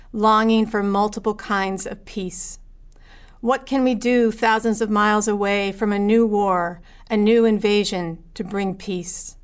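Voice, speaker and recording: A woman leading a solemn spoken prayer for peace in a measured, rhythmic way. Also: mid-range voice, clean tone. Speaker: prayer leader.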